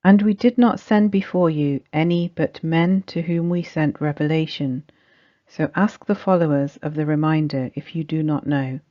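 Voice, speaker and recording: A woman's voice: low voice, moderate pace. A woman reading a scripted religious study text aloud as narration. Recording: dull, muffled lo-fi recording.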